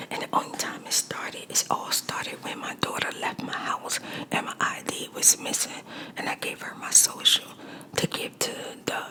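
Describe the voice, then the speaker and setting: Brief: adult woman, soft whispered storytime over makeup, repeats for emphasis, chatty with viewers. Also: rough recording. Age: adult mother. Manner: whispering throughout, repeats phrases for emphasis, addresses viewers as y'all. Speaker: makeup vlogger.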